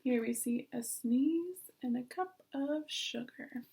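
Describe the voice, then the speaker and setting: A woman's voice: sits high, slow, mellow. A woman speaking as a teacher, introducing and explaining a picture book to young listeners. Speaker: teacher reading aloud to children. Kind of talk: picture book read-aloud.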